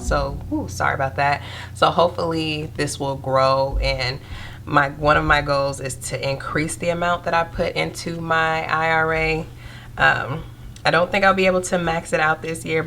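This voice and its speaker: A young woman with a stuffy nose from a cold, chatting casually to viewers while counting out cash in a steady murmur. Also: low voice, vocal fry, slight background noise. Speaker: budgeting vlogger.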